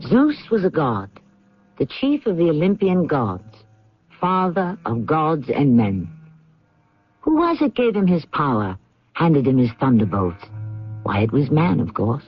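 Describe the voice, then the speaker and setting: Philosophical radio host, solemn, rhetorical, highly varied pitch, deliberate, muffled vintage sound. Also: male voice, big pitch swings. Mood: solemn, portentous. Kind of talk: dramatic scripted introduction, old radio. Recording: muffled old radio broadcast.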